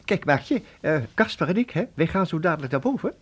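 A man playing a fussy, excitable old professor who mutters, stammers and repeats himself, in a comic radio play. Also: fairly high pitch, fairly quick, slightly rough. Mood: pleased.

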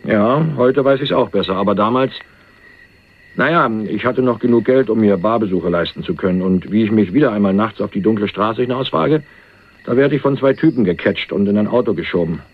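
Through narrow, phone-like sound comes a young heir on the run in a radio crime drama. His voice is fairly low, dry and streetwise, and he confides in plain colloquial speech with wry understatement. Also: brisk, breathy edge. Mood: wry storytelling. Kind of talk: 1980s German crime radio play.

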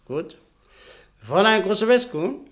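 A shrewd businessman in a German radio play. His voice sits a little high for a man. He is measured and courteous, with composed authority. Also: sing-song, fairly bright tone, heavily acted. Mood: businesslike.

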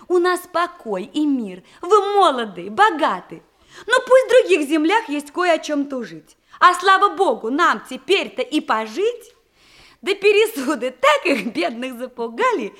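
A courteous, cheerful young maiden with a touch of playful cunning. Her high voice moves with lively melody through the theatrical verse of a radio comedy. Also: heavily acted. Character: polite, cheerful young unmarried woman, playful and artful.

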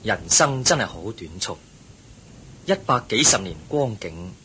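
Against a clear rumbling noise, a wise Buddha figure in a radio drama, speaking very slowly with a Hong Kong Cantonese accent. His teaching is patient and calm, yet the intonation rises and falls widely. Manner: measured, instructive, explaining patiently. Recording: noisy recording with low rumble.

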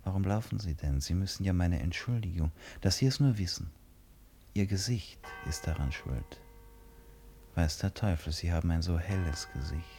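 Deep, creaky, brooding man. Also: normal speed, warm tone, slight background noise. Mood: urgent, pleading. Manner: musing, fragmented self-questioning, shifting between murmur and outburst. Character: restless, brooding male stranger lost in his own thoughts. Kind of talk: German radio drama interior monologue.

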